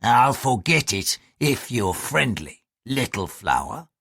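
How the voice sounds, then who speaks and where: A man's voice: mid-range voice, big pitch swings, takes it slowly, creaky, a bit bright, slightly rough, no room sound, studio quality, full character voice. A man voicing a crude, lecherous male ship's bosun who leers and threatens. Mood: threatening. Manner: coarse, leering, threatening.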